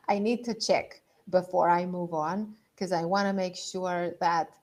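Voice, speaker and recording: A middle-aged woman from Romania presents a leadership masterclass over a video call. She speaks at an unhurried, easy pace. Her tone is conversational, and she thinks aloud with small fillers as she moves between points. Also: lowish voice, fairly even intonation, darkish tone. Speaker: leadership coach.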